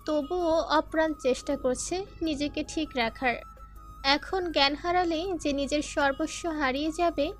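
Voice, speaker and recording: A woman narrator reading a scripted dramatic story. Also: high voice. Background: faint music.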